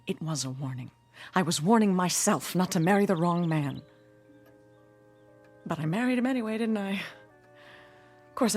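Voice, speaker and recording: An actress playing a bitter, haunted, hard-drinking woman in her forties in a radio drama, theatrical and sardonic with a husky voice. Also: medium pitch, close to an everyday voice. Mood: bitter regret. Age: 40s. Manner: theatrical, sardonic, self-pitying. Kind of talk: old-time radio drama acting. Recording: radio drama with music underneath.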